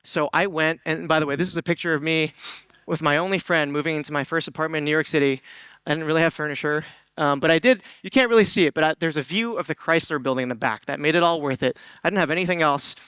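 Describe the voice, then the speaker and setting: A man's voice: on the high side, fast talker, pushed and bright, clear, resonant tone, no room sound. A young man from Hong Kong giving an earnest motivational talk on stage, often asking the audience questions, with ums and restarts. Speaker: conference speaker.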